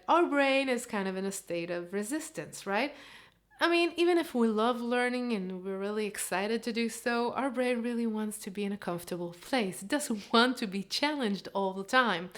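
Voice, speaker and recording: A woman in her thirties with an Israeli accent, a Hebrew teacher talking earnestly to camera in a living room. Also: a little high. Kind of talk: casual vlog talk to camera.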